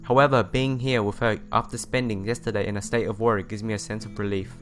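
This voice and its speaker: Low-voiced man narrating a visual novel. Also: steady tone, fast. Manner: stumbles over text and rereads phrases. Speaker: let's player. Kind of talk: reading visual novel narration aloud, expressive storytelling. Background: music.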